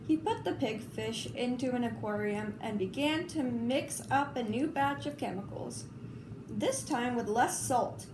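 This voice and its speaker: High-voiced woman reading a children's story. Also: measured pace. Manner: expressive, informal asides. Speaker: storybook reader. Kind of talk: children's story read-aloud. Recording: roomy, clearly noisy home recording.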